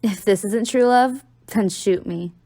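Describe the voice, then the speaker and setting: A woman's voice: mid-range voice, a little slow, mellow, dry recording, natural voice. A young woman playing an affectionate, teasing college-age girlfriend in an audio roleplay, talking playfully to the listener.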